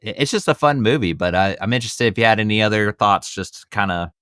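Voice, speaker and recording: Low-voiced man hosting a casual podcast. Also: lively intonation, quick pace, fairly bright tone, smooth, no echo, studio quality. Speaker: movie podcast host. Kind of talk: casual film review chat.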